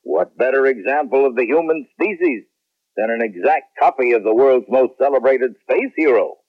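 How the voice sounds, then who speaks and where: A composed American general, played by a male actor in a vintage science fiction radio play. He explains things in an official way at a middling pitch. The sound is lo-fi and muffled. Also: lively intonation, normal speed, natural voice. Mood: explanatory. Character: composed general running a space program. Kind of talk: 1970s radio drama acting. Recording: muffled lo-fi 1970s radio broadcast.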